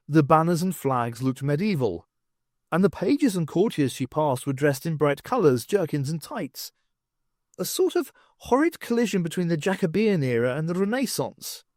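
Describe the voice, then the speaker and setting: A man reading an audiobook aloud with an expressive, wry storytelling manner. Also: fairly high pitch, no room sound, clean studio sound. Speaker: audiobook reader. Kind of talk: audiobook narration.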